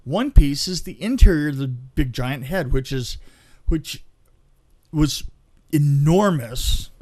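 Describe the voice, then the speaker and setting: A man telling anecdotes in a casual, halting, animated way on a podcast, as an experienced film crew storyteller. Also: fairly high pitch.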